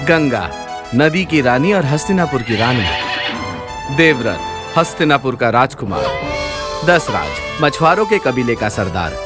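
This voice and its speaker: A man's voice: medium pitch, very animated, unhurried. A man narrating as a storyteller, introducing cartoon characters in a scripted, presentational way. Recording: loud music under the voice.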